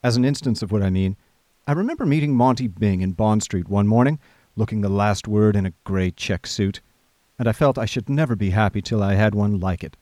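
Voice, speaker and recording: A man playing a chatty, idle young gentleman who narrates a comic radio drama in breezy, old-fashioned upper-class phrasing. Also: fairly low pitch, brisk, dry recording, natural voice.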